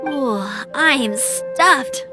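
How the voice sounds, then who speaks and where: A woman's voice: high-pitched, very slow, over music. A woman voicing a friendly, chatty, easygoing young scout in an anime-style video game. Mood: cheerful. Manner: chatty, good-natured.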